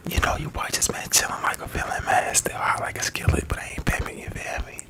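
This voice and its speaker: A man speaks in a whisper for an ASMR video. His manner is relaxed and full of slang, like someone chatting idly with his viewers. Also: quick pace. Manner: laid-back, slangy, repetitive greetings.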